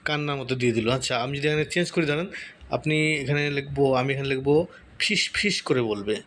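A man's voice: medium pitch, fairly bright tone. Man giving a casual tutorial, noisy background. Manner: repeats words slowly while typing. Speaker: tutorial host. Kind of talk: casual screen tutorial, thinking aloud while typing. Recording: clear background noise.

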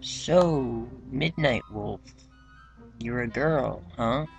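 A man's voice: mid-range voice, drawn-out words, gravelly, dull audio, soft background music. A man playing a blunt, teasing young adventurer in an amateur comedy radio drama, with a needling manner.

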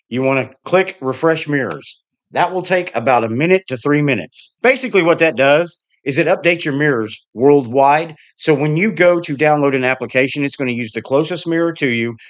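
A man hosting a tech channel, casually narrating a screen recording as he clicks through menus and settings in a relaxed, conversational way. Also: mid-range voice. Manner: relaxed, conversational, plain-spoken explaining. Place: voice-over for a desktop screen recording.

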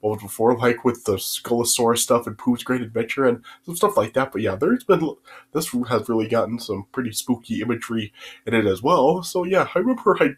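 A man's voice: mid-range voice, animated, a little fast, breathy edge. A young man with a stuffy nose from a cold, rambling through a casual video review full of fillers and restarts, recorded on a webcam in a small bedroom. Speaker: YouTube reviewer. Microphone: webcam at desk distance.

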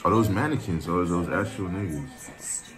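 A man's voice: low voice, normal speed, over music. A man in his twenties giving casual, slangy, profane reaction commentary as host of a video. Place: small room indoors.

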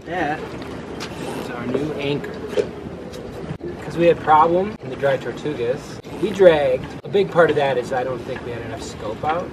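A man's voice: a little high, a little slow. A man in his thirties hosting a sailing vlog, chatting and joking on camera while standing on a dock. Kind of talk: casual on-camera explaining. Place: outdoor marina dock beside boats. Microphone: camera mic from a few steps away. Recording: loud outdoor wind and harbor noise.

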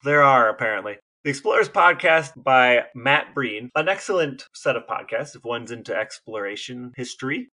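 A man's voice: mid-range voice, expressive, moderate pace, few pauses, bright, forceful tone. An American man co-hosting a podcast, telling a story in casual conversation. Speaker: podcast co-host.

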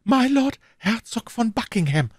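A man's voice: very high voice, very animated, unhurried, slightly rough, dry recording, clean studio sound, pitched above the performer's own voice, exaggerated voice. A man voicing an anxious, reproachful young woman in an audiobook. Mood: alarmed. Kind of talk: audiobook character acting.

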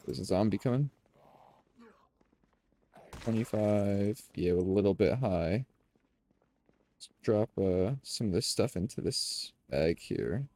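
A man's voice: on the low side, slow, long pauses. A young man with a nasal voice, casually commenting on his gameplay to viewers through a headset. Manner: relaxed, talks to viewers as "boys". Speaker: gaming streamer. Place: home room, indoors.

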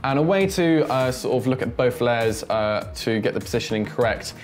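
A man in his twenties giving a casual, chatty software tutorial, thinking aloud as he demonstrates. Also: mid-range voice, animated, normal speed, soft background music. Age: 20s. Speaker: host, tutorial presenter.

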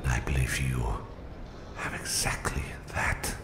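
A man playing a menacing, domineering Soviet psychic supervillain with a Russian accent in a slow, condescending ASMR roleplay, his voice whispery and gravelly. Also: extremely low pitch, steady tone, reverberant. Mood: smug, persuasive.

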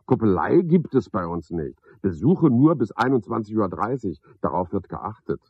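A cynical night porter in a 1970s German radio play. His voice is low and husky, his delivery wry and clipped as he mutters grim sums to himself. The recording sounds a little dull. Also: very animated, normal speed, heavily acted. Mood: dry, officious. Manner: sardonic, clipped, musing to himself.

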